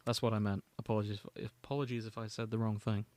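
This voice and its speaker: A man's voice: lowish voice, level tone, fast, darkish tone, dry recording. A man co-hosting a podcast, chatting casually about fanfiction and reading passages aloud. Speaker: podcast co-host.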